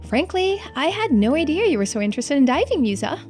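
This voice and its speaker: Woman voicing a breezy, high-pitched young island woman. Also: very animated, quick pace, a little mellow, faint music behind. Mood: breezy, cool.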